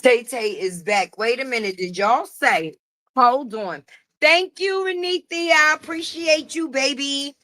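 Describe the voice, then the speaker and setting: Loud sassy high-voiced woman streamer. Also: a little slow, no room sound. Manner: sassy, loud, greets viewers by name. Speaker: live stream host. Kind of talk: casual gossip commentary.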